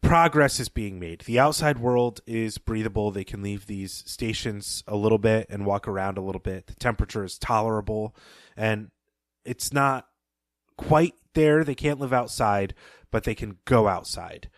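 A lower-pitched man retells a story's plot on a podcast with a measured, thoughtful delivery. His voice sits close to the mic with no room echo, dry and intimate. He breaks into soft laughs now and then. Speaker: podcast co-host. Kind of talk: casual book discussion and plot recap.

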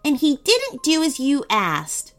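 A woman's voice: sits high, huge pitch swings, takes it slowly, fairly bright tone, soft background music, voice pushed up, exaggerated voice. A woman voicing a small, eager, friendly gingerbread boy in a children's story. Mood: indignant.

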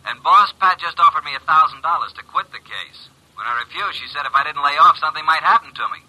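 An actor playing a brash, skeptical private detective, blunt and wisecracking, in an old radio drama. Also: male voice, a little high, lively intonation, quick pace, pushed and bright, slightly rough, exaggerated voice, rough recording. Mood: brisk reporting.

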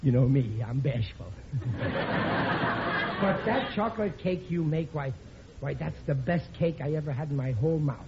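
An actor playing a bumbling, wheedling middle-aged working-class husband in a radio comedy, stammering and blustering. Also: male voice, a little high, expressive, unhurried, pauses often. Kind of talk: old-time radio situation comedy acting. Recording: dull, noisy vintage radio recording.

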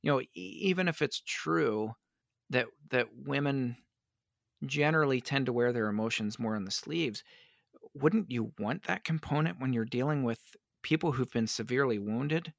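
A man, a pastor, answering interview questions in an earnest, reflective way, his voice worn hoarse by allergies. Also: mid-range voice, moderate pace, no room sound, clean studio sound. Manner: earnest, reflective, many restarts. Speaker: pastor and advocate.

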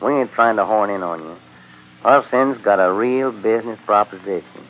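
An actor playing a rough American gunman henchman with a folksy, slangy drawl in an old-time radio drama. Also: lowish voice, normal speed, close to an everyday voice. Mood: cocky, easygoing. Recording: noisy, tinny vintage radio recording.

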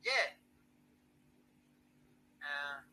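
A man from Peru with a Peruvian Spanish accent and Spanish as his first language, a student on a video call speaking halting, broken English full of fillers. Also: pushed and bright.

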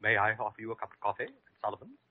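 An actor playing a timid, stuffy doctor in 1940s radio comedy, fussy and formal, stammering. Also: male voice, lowish voice, racing, close to an everyday voice. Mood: wounded, huffy. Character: timid, prissy, stuffy doctor, easily panicked. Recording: muffled old radio recording.

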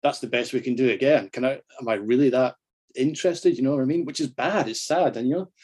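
A man's voice: mid-range voice, quick pace, a little mellow, slightly rough. A middle-aged Scottish man chatting casually about football as a podcast guest, self-deprecating and happy to swear. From Scotland.

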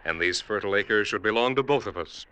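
Treacherous, sharp-voiced younger brother. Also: male voice, fairly low pitch, quick pace, smooth. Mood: falsely brotherly. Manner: formal, insistently brotherly. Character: smooth-talking, treacherous younger brother, an Indian farmer. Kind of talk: old-time radio drama acting.

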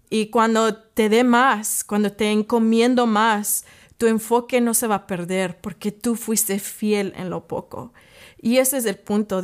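Female podcast host, English as first language. Earnest devotional encourager, slow delivery with searching pauses and repeated key phrases. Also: medium pitch.

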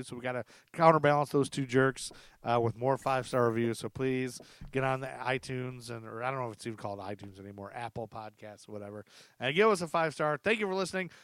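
A man's voice: medium pitch, fast talker, clean tone, no echo. Casual, chummy middle-aged man hosting, close dry sound. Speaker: podcast host. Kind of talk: casual chat with guests.

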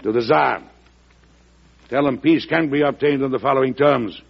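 Shrewd, commanding statesman, jovial and oratorical, gravelly, on a muffled old radio recording. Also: mid-range voice, moderate pace. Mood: resolute. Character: hearty, shrewd American president, middle-aged statesman. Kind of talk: old-time radio drama acting.

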